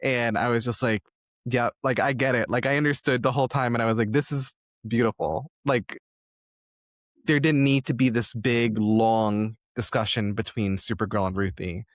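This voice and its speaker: A man's voice: medium pitch, fairly even intonation, moderate pace, dry recording, clean studio sound. A man hosting a conversational podcast interview, speaking in an earnest, effusive and reflective way. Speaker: podcast host.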